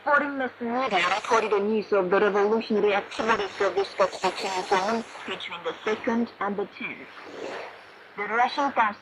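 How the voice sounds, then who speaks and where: A woman radio announcer reading a formal, solemn news bulletin in a measured way, heard over shortwave radio. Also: mid-range voice. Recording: noisy shortwave radio reception with static. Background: shortwave static and noise.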